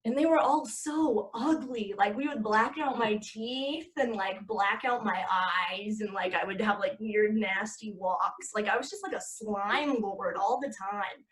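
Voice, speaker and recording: A woman's voice: mid-range voice, measured pace, few pauses, rough recording. A woman in her twenties, a podcast guest and actress, talking casually with lots of filler words and easy laughter. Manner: chatty, heavy with "like" fillers, laughs easily. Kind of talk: casual conversation.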